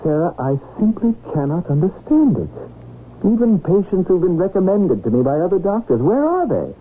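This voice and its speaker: A man acting an earnest young struggling doctor and husband in an old-time radio drama, expressive and well-spoken, on a noisy recording. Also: high voice, moderate pace, slightly rough, phone-quality sound, full character voice. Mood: dejected.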